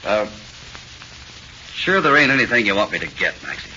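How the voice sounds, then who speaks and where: An actor playing an awkwardly sympathetic cop with an American accent, halting and slangy, in 1940s radio drama. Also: male voice, on the low side, big pitch swings, sharp tone, exaggerated voice. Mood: uneasy sympathy. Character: gruff, awkwardly sympathetic male cop guarding a condemned prisoner. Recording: dull noisy 1940s radio recording.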